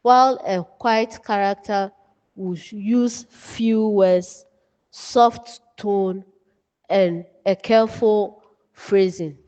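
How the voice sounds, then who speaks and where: A woman with a Ghanaian accent giving an instructional lecture as a writing teacher, in deliberate, emphatic, measured phrases. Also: medium pitch, fairly even intonation, darkish tone. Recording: dull, muffled, long room reverb.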